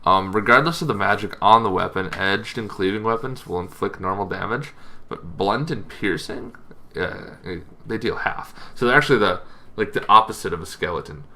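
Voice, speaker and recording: A man hosting a tabletop gaming channel, giving a casual, informal explainer with halting, loosely phrased delivery. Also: fairly low pitch, bright, forceful tone.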